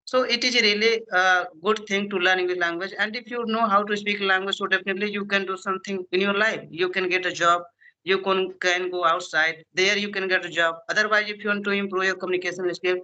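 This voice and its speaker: A man with an Indian accent, a native Hindi speaker, talking in halting English full of fillers in a casual practice conversation. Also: sits high, fairly even intonation, bright, forceful tone. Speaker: English learner guest. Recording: dull lo-fi recording.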